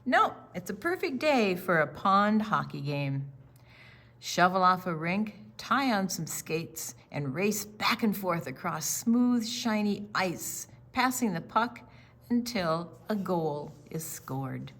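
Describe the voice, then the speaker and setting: A woman reading a picture book aloud to young children in an expressive, question-and-answer storytime style. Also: fairly low pitch, slow.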